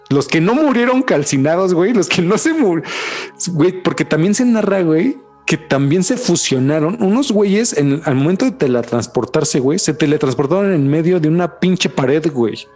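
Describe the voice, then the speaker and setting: A young Mexican man co-hosting a podcast in casual chat, slangy and animated, saying "güey" every few words. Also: on the high side, moderate pace, faint music behind. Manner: slangy, says "güey" constantly, animated storytelling. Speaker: podcast co-host.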